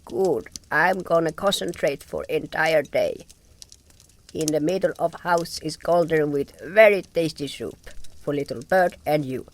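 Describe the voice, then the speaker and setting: A man's voice: on the high side, a little slow, slight background noise, voice pushed up, close to an everyday voice. A man voicing an old, placid and absent-minded amphibian healer in an audio drama, speaking in simple broken phrases. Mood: gentle, placid. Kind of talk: audio drama character acting.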